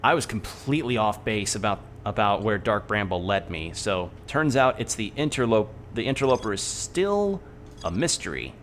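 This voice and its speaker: A man with a fairly low voice talks through his game in an unhurried, soft-spoken way. He muses aloud and hums while he works things out, with faint background noise under him. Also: big pitch swings. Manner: thinking aloud, musing with hums. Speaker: streamer. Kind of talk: casual gameplay commentary.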